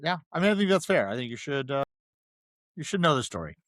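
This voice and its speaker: A man's voice: on the high side, big pitch swings, fast talker, fairly bright tone, no echo, studio quality. A man co-hosting a casual film discussion, talking conversationally and restating his points with emphasis. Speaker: podcast co-host.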